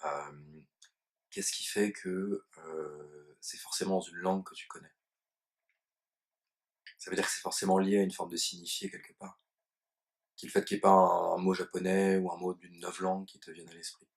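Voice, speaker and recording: Deep-voiced man asking halting questions. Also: level tone, vocal fry. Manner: halting, informal, restarts often. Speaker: interviewer. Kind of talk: casual interview questions.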